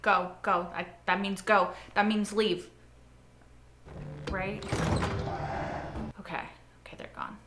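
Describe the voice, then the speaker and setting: A woman's voice: mid-range voice, little pitch movement, takes it slowly, long pauses, heavy background noise. A woman hosting a reaction video, making chatty, reflective asides. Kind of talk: casual reaction commentary. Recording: echoey room.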